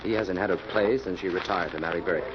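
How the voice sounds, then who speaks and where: Assured male detective lead, played by a man, 1940s radio mystery, quick delivery, dull and noisy old sound. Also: sits low, lightly acted. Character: assured male amateur detective, a cultivated biochemist. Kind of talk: 1940s radio drama acting.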